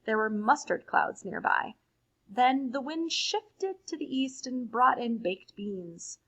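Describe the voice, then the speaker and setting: A woman reading a picture book aloud to children in an expressive storytelling manner, adding simple, casual explanations. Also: on the high side, slow pace, highs cut off. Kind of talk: read-aloud picture book narration with casual asides to young listeners.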